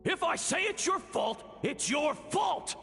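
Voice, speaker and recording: A furious, berating father yells in a high, strained, gravelly voice that swings wildly. He sounds far off with a long echo, like a memory in a video game. Faint music sits beneath him. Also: sing-song, slow pace, exaggerated voice. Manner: harsh, shouting, berating.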